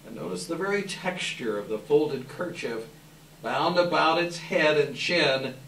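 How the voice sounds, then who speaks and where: Bright-voiced man narrating dramatically. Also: on the high side, expressive, vocal fry. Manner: measured, theatrical, emphatic phrasing. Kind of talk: dramatic scripted narration, live readers theater. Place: indoor live event room. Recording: echoey room, distant mic, faint noise.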